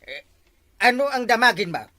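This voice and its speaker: A woman's voice: a little high, sing-song, moderate pace, fairly bright tone. A woman playing a blustering, evasive village captain in an Ilocano comedy drama.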